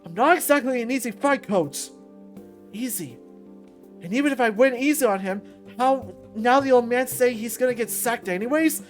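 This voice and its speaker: Woman voicing brash burly male fighter, loud, taunting, music underneath. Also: fairly high pitch, moderate pace, natural voice. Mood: angry. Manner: boisterous, taunting.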